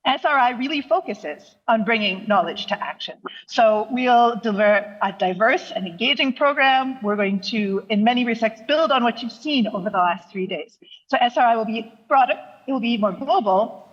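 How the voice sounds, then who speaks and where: A woman's voice: medium pitch, husky. A woman speaking enthusiastically to a conference over a video call. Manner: upbeat, enthusiastic. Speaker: conference co-convener. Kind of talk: remote conference greeting. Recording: lo-fi video call played in a hall.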